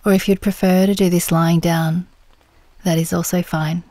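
A woman leading a guided meditation in a gentle, soothing, unhurried manner, her soft voice turning breathy at the ends of phrases. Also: lowish voice, little pitch movement, no echo.

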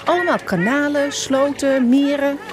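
A woman's voice: high-pitched, fairly even intonation, moderate pace, over music. A woman narrating a scripted children's story, addressing young listeners playfully.

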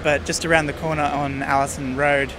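A man, casually narrating while he walks outdoors, relaxed and hesitant, with many fillers. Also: a little high, a little fast. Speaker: urban explorer vlogger. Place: outdoors beside a busy road. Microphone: handheld camera mic, speaker off screen. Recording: outdoor camera audio with clear traffic noise.

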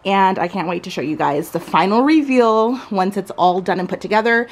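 A woman's voice: mid-range voice, animated, moderate pace. A woman in her thirties chatting casually and enthusiastically, like a lifestyle vlogger showing things off at home. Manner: enthusiastic, gushing. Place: furnished bedroom at home, indoors.

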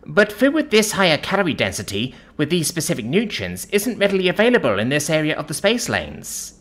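A man's voice: on the high side, huge pitch swings, fairly quick, fairly bright tone, voice pushed up. A man voicing a small, timid alien shopkeeper woman in an audiobook story, pleading and flustered. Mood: grovelling.

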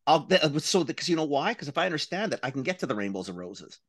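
A man's voice: on the high side, very animated, fast talker, no echo, clean studio sound. A middle-aged man co-hosting a podcast, blunt and emphatic, swearing freely, talking over a video call on a headset. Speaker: podcast co-host. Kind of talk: casual chat on a video call.

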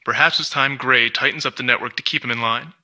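An actor playing an arrogant, suspicious lab scientist in an adventure game, dictating work notes in a measured, condescending, precise way. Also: male voice, mid-range voice, steady tone, bright, forceful tone. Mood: smug, analytical. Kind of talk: scripted adventure game voice acting, diary-like monologue.

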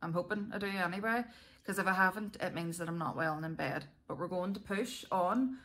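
Female vlogger, Northern Irish, lowish bright voice, candid and chatty. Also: fairly quick. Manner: chatty, candid, self-deprecating. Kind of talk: casual chat to viewers.

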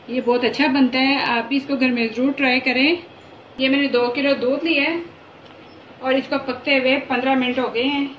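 Cooking host, woman, high voice, plain encouraging delivery; echoey, dull, noisy kitchen recording. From Pakistan. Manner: simple, repetitive, encouraging instructions. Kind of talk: home cooking tutorial. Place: home kitchen.

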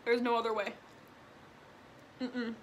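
A woman's voice: on the high side, level tone, takes it slowly, a little hiss or hum. A twenty-year-old woman from Illinois chatting casually and eating while she answers viewer questions, with lots of "like" and "um". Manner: chatty, eats while talking, frequent "like" and "um". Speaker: vlogger. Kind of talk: casual mukbang Q&A chat. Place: small room indoors at home.

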